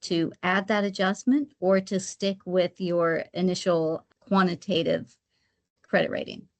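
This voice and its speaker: A woman's voice: on the low side, fairly even intonation, measured pace, creaky. A woman giving an explanatory webinar presentation step by step, sometimes repeating her phrases as she goes. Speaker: guest presenter, credit rating specialist.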